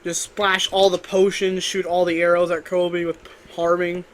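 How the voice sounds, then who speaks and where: A man's voice: high voice, little pitch movement, moderate pace. A man chatting casually with friends while playing a video game. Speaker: gamer in a group let's play. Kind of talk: casual chat during gameplay.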